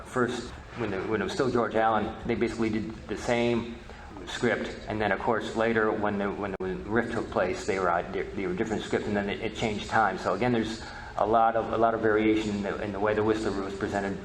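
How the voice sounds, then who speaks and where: Male presenter, lowish voice, halting informal lecture delivery, recorded in an echoey room with faint noise. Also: level tone. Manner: halting, repeats and rephrases words. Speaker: presenter, media researcher.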